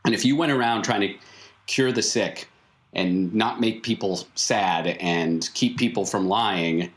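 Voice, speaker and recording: A man playing a cryptic, stern clown mentor in a tabletop game, speaking solemnly in emphatic, measured statements. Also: on the low side, lightly acted. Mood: solemn, instructing.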